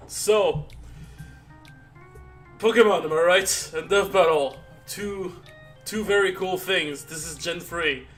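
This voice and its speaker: A tired man chatting casually on a live stream, rambling and halting with many restarts and fillers. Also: high voice. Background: soft background music.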